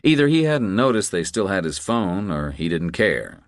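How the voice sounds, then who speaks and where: A man narrates a thriller audiobook in a deep voice. His pitch moves in an animated way through the scripted prose. The sound is close and dry, with no room on it. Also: normal speed, no echo.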